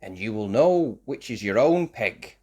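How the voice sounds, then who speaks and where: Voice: mid-range voice, sing-song, unhurried, a little mellow. Brief: narrator as primary school mistress in a storybook, kind, encouraging, speaking up with melodic emphasis. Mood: instructive. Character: kindly, reassuring female primary school teacher.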